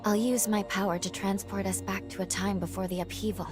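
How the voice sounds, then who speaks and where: An actress voicing a gentle, earnest young woman in a video game, speaking softly. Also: medium pitch, level tone, brisk, natural voice. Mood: earnest, resolved. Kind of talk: video game cutscene acting. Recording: clear music bed underneath.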